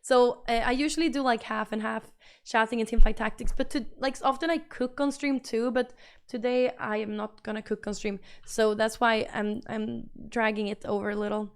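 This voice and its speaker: A young Swedish-accented woman streaming from home, chatting loosely with her viewers. Her voice sits a little on the high side, and she repeats phrases as she reacts to chat. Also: fairly even intonation, normal speed, clear, resonant tone. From Sweden. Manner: chatty, bubbly, repeats herself while reading chat. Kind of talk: casual livestream chat reading messages.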